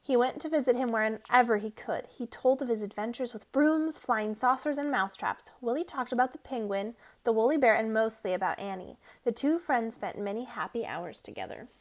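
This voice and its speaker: A woman reading a children's storybook aloud as an expressive narrator. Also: a little high, brisk, few pauses. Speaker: storybook reader.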